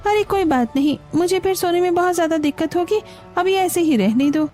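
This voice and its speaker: A lazy, entitled young houseguest acted by a woman narrator in a cartoon tale. Her voice is pitched well up and whiny as she orders people around, with soft music behind. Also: lively intonation, brisk. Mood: entitled, dismissive.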